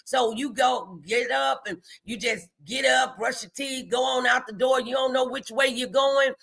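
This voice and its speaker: A woman's voice: high voice, moderate pace, gravelly, a bit bright, breathy edge. An American woman hosting a motivational morning live show, speaking in an emphatic, encouraging, faith-filled way and repeating phrases for effect.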